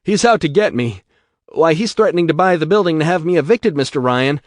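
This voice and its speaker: A man voicing a desperate middle-aged male shopkeeper who pleads in a strained, high voice. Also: lively intonation, brisk, no echo, studio quality, lightly acted. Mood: pleading.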